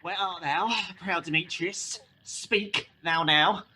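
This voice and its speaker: A man's voice: on the high side, steady tone, slow, noisy background. A man acting an angry, weary young lover in a Shakespearean radio play, declaiming verse in broken phrases with sighs. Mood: defiant.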